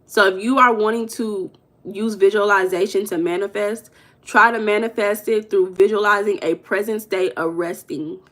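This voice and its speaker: Young American woman, spiritual coach, casual livestream voice, rambling and relaxed. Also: mid-range voice, normal speed. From United States. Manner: loose, rambling and conversational, with self-corrections and humming between comments. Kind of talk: casual livestream chat, reading viewer comments.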